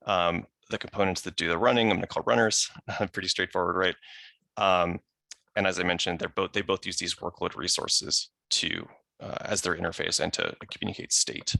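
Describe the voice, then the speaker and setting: A man with a low, gravelly voice walks through a technical presentation. He sounds crisp and bright, recorded close and dry, and keeps the explanation casual with little asides. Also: steady tone, moderate pace, no room sound. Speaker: software engineer presenting. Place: remote video call.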